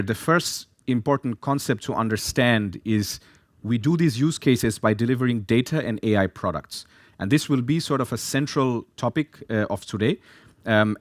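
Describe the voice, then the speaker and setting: A man's voice: medium pitch, dry recording, clean studio sound. A man from Bangladesh in his thirties, a data science team lead, giving a talk and explaining steadily with frequent "uh" fillers. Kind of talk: presentation talk.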